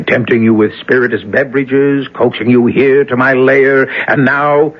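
A man's voice: medium pitch, steady tone, normal speed, close to an everyday voice. An actor playing a sly young man possessed by a devil, teasing with a menacing undertone, in an old radio drama. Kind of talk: 1970s radio drama acting. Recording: dull, muffled old radio broadcast.

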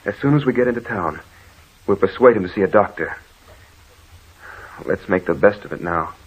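An actor playing a concerned, steady brother in an old radio horror drama, trying to reason and reassure. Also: male voice, low voice, normal speed, slightly rough. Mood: subdued, reassuring. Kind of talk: vintage radio horror acting. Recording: dull old-time radio recording.

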